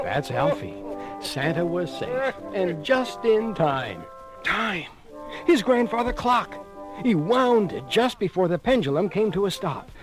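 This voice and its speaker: A man narrating a children's storybook record in a theatrical, dramatic style. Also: sits high. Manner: theatrical, animated. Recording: 1980 vinyl read-along record with music.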